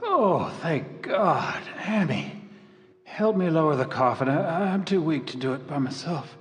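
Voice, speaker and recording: A feeble, grief-broken farmer on the edge of madness in a radio drama. He speaks slowly in a raised voice that swings widely in pitch, faltering and repeating himself. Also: expressive. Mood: desperate, feeble. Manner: faltering, repetitive. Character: weak, broken, grieving farmer slipping into madness. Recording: reverberant, echoey hall sound.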